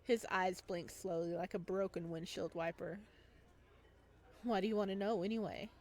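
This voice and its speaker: A woman's voice: medium pitch, fairly even intonation, moderate pace, darkish tone. A woman gaming streamer with a giggly, playful manner, reading visual novel text aloud and commenting as she plays.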